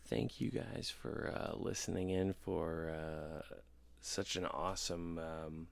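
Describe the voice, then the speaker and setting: A man hosting a casual podcast, rambling and halting, with effusive thanks and agreement. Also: deep voice, steady tone, creaky. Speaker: podcast host. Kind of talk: casual interview chat.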